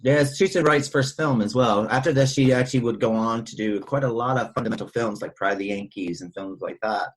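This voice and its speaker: Male podcast host, husky mid-pitched voice, casual and chatty film discussion, informative and loose. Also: quick pace, few pauses, rough recording.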